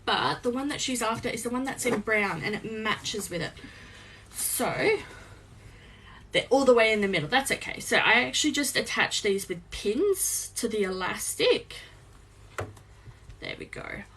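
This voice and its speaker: A young woman with a slightly croaky voice, chatting in a rambling way, full of ums, while she sews on a live stream from her home craft space. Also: on the high side, animated, a little slow, a bit bright, slight background noise, poor audio. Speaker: small business owner live-streaming crafts. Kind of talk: casual chat while sewing. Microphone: phone on a live stream.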